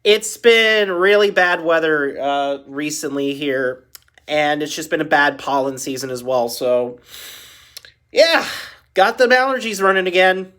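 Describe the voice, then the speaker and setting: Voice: mid-range voice, measured pace, fairly bright tone. Stuffy-nosed reactor, chatty. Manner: chatty, sympathetic asides. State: stuffy nose from allergies. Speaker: video reactor. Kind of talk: casual reaction commentary.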